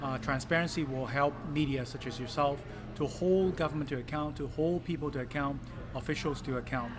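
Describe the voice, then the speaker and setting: A man from Hong Kong, a politician giving earnest interview answers with many pauses and fillers. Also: fairly high pitch, over music. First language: Cantonese. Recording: clip with noisy ambience.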